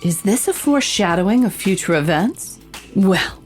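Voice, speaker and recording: An actress playing a confident investigative reporter hosting a true-crime podcast, with theatrical, knowing scripted delivery. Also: female voice, mid-range voice, expressive, normal speed, darkish tone, soft background music, close to an everyday voice. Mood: dramatic, wry. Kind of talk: scripted dramatic podcast narration and interviewing.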